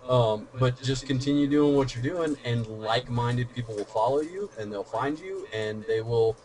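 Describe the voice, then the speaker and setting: A man in casual podcast chat, giving earnest, steady advice about growing a channel. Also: medium pitch, moderate pace, slight background noise. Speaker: YouTube commentator.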